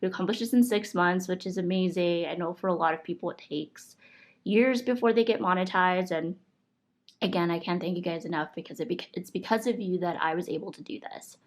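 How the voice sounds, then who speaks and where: A woman's voice: fairly low pitch, fairly quick. A young woman from the United States with a congested, stuffy voice, chatting casually and cheerfully to her viewers. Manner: upbeat, chatty, thankful, many fillers like "like". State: congested from a cold. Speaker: vlogger reviewing monthly goals. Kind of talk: casual talk to camera.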